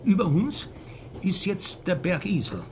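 An actor playing a vain, chattering, sly old Austrian privy councillor in a radio play, with an Austrian accent and a self-important manner. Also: male voice, high voice, sing-song, a little slow, noisy background. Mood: pompous.

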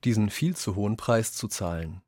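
A man with a fairly low voice reads quoted passages for a radio essay. He is deliberate and articulate, keeping a measured delivery. The recording is dry and close. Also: expressive, darkish tone, no echo. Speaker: reader of quotations in a radio essay. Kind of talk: scripted reading, radio essay.

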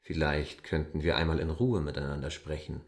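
An actor playing a bold, cool land surveyor, an insistent outsider, in a literary radio drama. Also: male voice, extremely low pitch, flat delivery, fairly quick, gravelly. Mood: persuasive.

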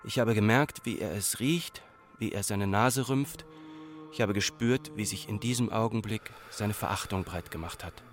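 An actor playing a farmer's anxious grown son in a radio drama, with an Austrian accent and a deliberate, repetitive, literary delivery. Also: male voice, on the low side, faint music behind, lightly acted. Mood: brooding, ashamed.

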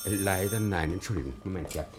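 Man playing a deep-voiced, flustered hotel receptionist. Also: quick pace, soft background music, natural voice. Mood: flustered, polite. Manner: flustered, clipped, obsequious. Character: busy, deferential male hotel receptionist.

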